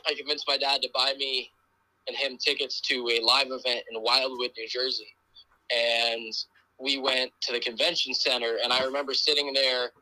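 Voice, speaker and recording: A man's voice: mid-range voice, moderate pace, dry recording, rough recording. A man in his twenties, a wrestler guest on a podcast, telling his story in a loose, rambling way full of "like" and "um". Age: 20s. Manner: relaxed, rambling storytelling with many "like" and "um". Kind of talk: casual podcast interview.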